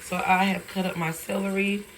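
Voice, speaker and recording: A hoarse woman who has lost her voice, casually and tiredly talking through a recipe in her home kitchen. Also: level tone, moderate pace, background noise. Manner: tired, low-key, chatty with fillers. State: hoarse, lost voice from sinus infection. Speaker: home cook vlogger. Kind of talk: casual cooking demonstration. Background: sizzling and frying in a pan.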